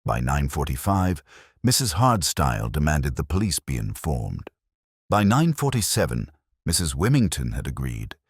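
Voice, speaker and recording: A middle-aged man reading a scripted comic mystery story in a dry, measured, wryly grave manner. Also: a bass voice, slightly rough, no echo. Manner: dry, measured, wry mock-gravity. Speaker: narrator.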